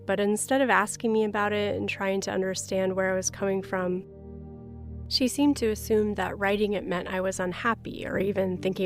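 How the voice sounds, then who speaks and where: A religious sister recounting her own experience in a reflective, hesitant way. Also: medium pitch. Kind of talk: personal testimony narration.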